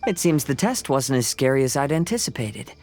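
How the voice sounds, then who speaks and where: A man voicing a calm, polite, thoughtful young wanderer in a video game, with composed and measured phrasing. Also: mid-range voice, soft background music, natural voice. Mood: courteous, gentle. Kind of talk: video game character acting.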